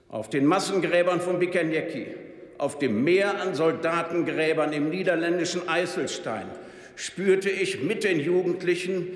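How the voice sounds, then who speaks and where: Grave older man in echoing hall. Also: sits high. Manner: slow, grave, measured delivery. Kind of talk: solemn reading of a prepared speech. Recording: echoey hall with long reverb.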